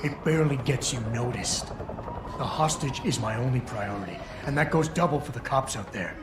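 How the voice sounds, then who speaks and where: An actor as a terse security agent, echoey. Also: male voice, mid-range voice, lively intonation, normal speed, natural voice. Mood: stern, scornful. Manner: terse, dry, controlled. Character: cool, hard-edged male security agent negotiating. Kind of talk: video game voice acting. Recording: echoey game audio under loud music.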